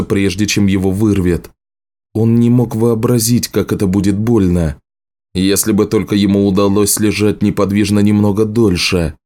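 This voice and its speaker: Guy reading an audiobook, deepish mellow voice, serious measured storytelling. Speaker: audiobook narrator. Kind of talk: scripted literary narration.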